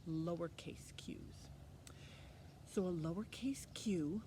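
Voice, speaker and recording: A woman's voice: mid-range voice, background noise. A woman presenting a children's storytime lesson, encouraging young listeners with simple step-by-step instructions.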